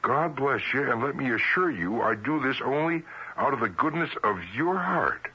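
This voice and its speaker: Folksy small-town mailman, husky and bright. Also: medium pitch, animated, moderate pace, exaggerated voice. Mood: reading aloud. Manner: homespun, chuckling, plain-spoken. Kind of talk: 1970s radio drama acting. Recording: dull vintage radio broadcast recording.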